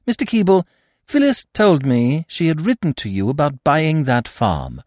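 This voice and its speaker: A man's voice: a little high, very animated, moderate pace, a little mellow, dry recording, studio quality, pitched above the performer's own voice. A man doing a firm, spirited young woman. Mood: earnest. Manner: earnest, pressing questions.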